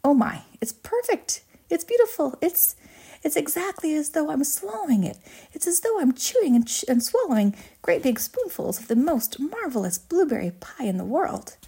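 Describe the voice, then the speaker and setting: Woman putting on a breathy, excitable young girl. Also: a little high, brisk, hardly any pauses, voice pushed up, full character voice. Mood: enraptured. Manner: breathy exclamations, gasping. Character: headstrong gum-chewing young girl, excitable and defiant.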